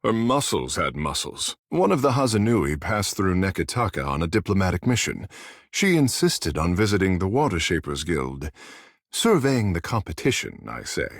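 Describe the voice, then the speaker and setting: Vain godlike fish-man, fantasy game hero, swooping expressive pitch, husky, seductive and boastful storyteller, close dry recording. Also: normal speed, no room sound, exaggerated voice. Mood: flirtatious, boastful. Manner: theatrical, boastful, languid storyteller. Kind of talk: fantasy video game character dialogue.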